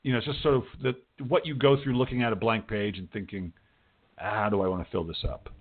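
A man's voice: lowish voice, steady tone, brisk. Male radio host, slightly muffled sound. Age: middle-aged. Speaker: radio show host and interviewer. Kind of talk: casual conversational interview. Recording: band-limited, dull radio-show audio.

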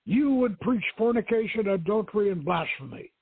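A man's voice: sits high, animated, normal speed, slightly rough, no room sound, heavily acted. A man voicing a stern, accusing male apostle in a declamatory way, in a novel reading. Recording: thin, phone-like radio line.

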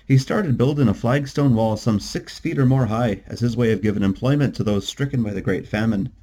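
A man's voice: mid-range voice, a little fast, dark tone, close to an everyday voice. A man voicing a talkative, proud Irish tour guide in a storybook, with grand, expansive patter.

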